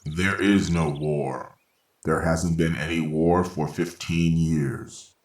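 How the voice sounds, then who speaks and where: A man acts as a composed robot caretaker in a radio play, with a low voice that rings in a roomy echo. The phrasing is formal, logical and very unhurried. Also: steady tone. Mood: calm, explanatory. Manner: measured, formal, matter-of-fact. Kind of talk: old-time radio science fiction acting. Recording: roomy, echoing voice.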